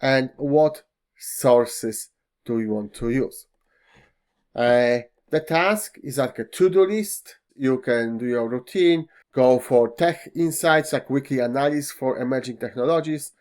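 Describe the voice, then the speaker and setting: A man whose first language is Polish guides viewers through software at a slow, unhurried pace. His speech is full of fillers and slightly non-native phrasing. He speaks into a desktop mic at his computer. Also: medium pitch. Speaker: tech tutorial host. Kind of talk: screen-recorded software walkthrough.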